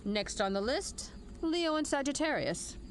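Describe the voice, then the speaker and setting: Woman acting as a commanding, high-voiced female general. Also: sing-song, unhurried, slight background noise. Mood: commanding, measured. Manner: formal, authoritative briefing delivery. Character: stern, commanding female military general, measured and dryly wry. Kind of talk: scripted audio drama acting.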